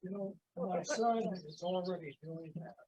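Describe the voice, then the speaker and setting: A man's voice: high voice, measured pace, darkish tone, breathy edge. A middle-aged or older man making a casual remark from the floor at a meeting. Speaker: audience member commenting. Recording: dull, lo-fi meeting audio.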